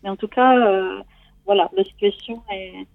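A woman's voice: medium pitch, steady tone, moderate pace, gravelly, no echo, phone-quality sound. A woman in her forties from Italy, speaking with an Italian accent in a hesitant, conversational way as she shares something personal. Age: 40s. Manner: hesitant, searching for words. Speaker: guest seeking coaching.

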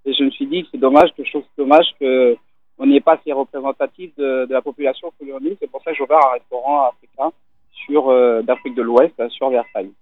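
A man from Italy, a restaurant owner, talking casually on the phone in an interview, relaxed and hesitant with many fillers. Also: mid-range voice, fast talker, no echo. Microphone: telephone. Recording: tinny phone line.

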